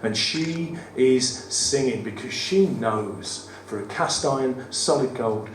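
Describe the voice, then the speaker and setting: A man with a West Midlands accent and a croaky voice, reading his poems at a live event with wry, self-deprecating banter. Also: medium pitch, expressive, slow pace, far from the mic. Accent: West Midlands English. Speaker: performance poet. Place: studio theatre at a live cabaret event. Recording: roomy live venue, mic from afar, audible room noise. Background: audience ambience.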